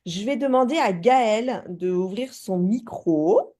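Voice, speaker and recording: A woman's voice: medium pitch, expressive, a little slow, mellow. A woman hosting an online video call, chatting as she presents her own results and hands over to guests. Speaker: host. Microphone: video call mic.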